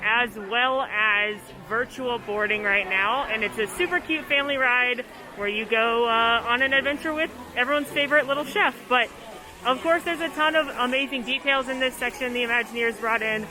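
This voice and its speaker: A woman's voice: on the high side, moderate pace, rough recording. A woman hosting an upbeat theme-park vlog outdoors, talking in a bubbly, chatty, playful way. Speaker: vlog host.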